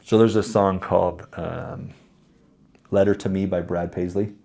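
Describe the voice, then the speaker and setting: The low, raspy man in his thirties across the table in an interview, talking frankly through lessons from his own life. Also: steady tone, measured pace, mellow. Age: 30s. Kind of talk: reflective conversational interview answers with anecdotes.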